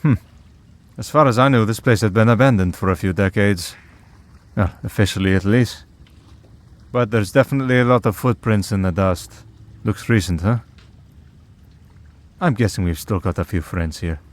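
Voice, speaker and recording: Guy doing old immortal vampire priest, deep-ish voice, wry and protective, audio roleplay style. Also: moderate pace, pauses often, natural voice. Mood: exasperated, dry. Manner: dry, sardonic, reassuring.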